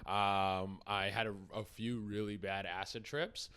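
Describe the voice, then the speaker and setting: A man's voice: lowish voice, steady tone, unhurried, sharp tone, smooth. A young man from Canada, a comedian guest in a casual podcast chat, rambling and laid-back with casual swearing.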